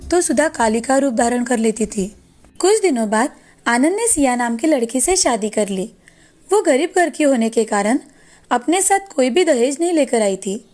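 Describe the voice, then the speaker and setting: A woman's voice: high voice, darkish tone. A woman storyteller reading scripted moral-story narration.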